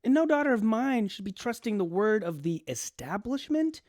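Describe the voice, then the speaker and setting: A woman voicing a relaxed, rebellious father of a teenage girl in a radio drama, talking in a lecturing way. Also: a little high, normal speed, no room sound, studio quality. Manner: laid-back, lecturing.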